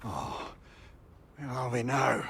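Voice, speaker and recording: A male actor playing an emotional, fretful and kindly companion in a video game, with an anxious, expressive delivery. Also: medium pitch, takes it slowly, vocal fry, slight background noise, heavily acted. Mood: puzzled. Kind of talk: dramatic video game acting.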